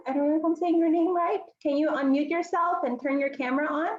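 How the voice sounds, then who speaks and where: Woman, higher pitch, meeting host, video call, echoey room, dull muffled sound. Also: steady tone, quick pace. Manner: friendly, clear instructions with frequent ums. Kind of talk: hosting an online club meeting.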